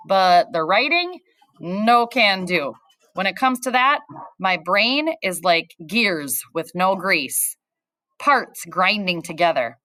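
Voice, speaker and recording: Lady doing a jokey teen boy, goofy and lively, dry close sound. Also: mid-range voice, dry recording, pitched above the performer's own voice. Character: cheerful teen boy, older brother, joking.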